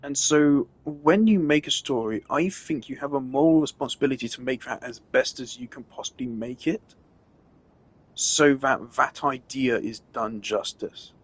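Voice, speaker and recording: A man's voice: medium pitch, normal speed, breathy edge, low-quality recording. A man giving a casual, opinionated rant on a live stream, emphatic and exasperated in the way he talks.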